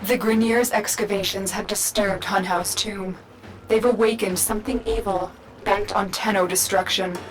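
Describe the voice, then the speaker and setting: A woman voicing a grave, protective mission guide in a video game, solemn, measured and earnest. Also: mid-range voice, fairly even intonation, close to an everyday voice, rough recording. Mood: grave urgency. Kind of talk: scripted video game dialogue. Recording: voice over clear background music.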